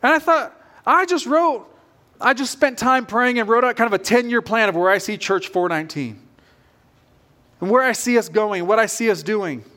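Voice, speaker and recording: A man's voice: sits high, normal speed, bright, forceful tone. A man in his forties preaching a sermon as a pastor in an informal, conversational storytelling manner. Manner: informal, self-questioning storytelling.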